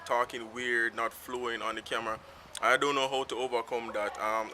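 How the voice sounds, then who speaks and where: A man's voice: mid-range voice, bright, forceful tone. A man with a Jamaican accent, whose first language is Patois, chatting unscripted to camera outdoors in a rambling, free-flowing way. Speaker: vlogger. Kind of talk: casual talk to camera. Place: outdoors in town, in the sun. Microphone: self-filmed camera. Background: faint street traffic.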